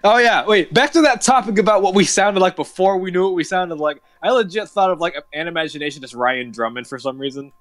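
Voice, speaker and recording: A man chatting casually with friends on a live stream, playful and quick to laugh. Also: sits high, fairly bright tone, no room sound, soft background music. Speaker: stream co-host in group voice chat. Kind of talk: casual chat.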